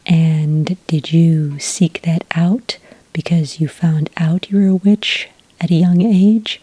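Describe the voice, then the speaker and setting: Very breathy, whispery woman, slow and soft. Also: deep voice, fairly even intonation. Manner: gentle, slow, hesitant official patter. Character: polite, patient enrollment witch at a magical academy. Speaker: ASMR roleplay performer. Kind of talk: soft-spoken ASMR roleplay. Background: computer keyboard typing.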